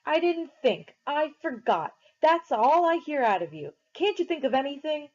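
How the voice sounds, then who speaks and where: A woman doing a furious young man shouting. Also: on the high side, expressive, a little fast, voice pushed up. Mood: angry scolding. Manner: shouting, scolding. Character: furious young man, stern older brother. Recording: dull lo-fi recording.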